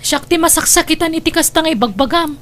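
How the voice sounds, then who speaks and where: A woman's voice: very high voice, quick pace, a bit bright. A woman playing a remorseful young wife in an audio drama, with a plaintive, imploring manner. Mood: hurt, defensive. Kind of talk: melodramatic audio drama acting.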